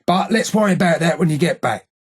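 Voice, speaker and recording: An actor playing a gruff, streetwise London gang tough in a video game, with a rough gravelly British working-class accent and blunt, slangy, taunting delivery. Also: male voice, fairly high pitch, lively intonation, fast talker. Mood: gruff, confiding. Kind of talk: video game character voice lines.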